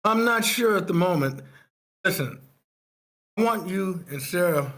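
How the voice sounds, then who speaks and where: A man playing an affectionate, protective father on the phone at a screenplay table read, in a halting read-aloud delivery. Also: sits high, expressive, a bit bright. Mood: concerned.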